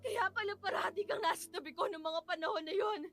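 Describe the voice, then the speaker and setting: An enraged widow in a radio melodrama. Her voice is extremely high and forceful, accusing and full of hurt, over background music. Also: quick pace. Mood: anguished, betrayed. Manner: tearful, accusing, impassioned. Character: betrayed, enraged widow confronting her husband's killer. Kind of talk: melodramatic radio drama acting.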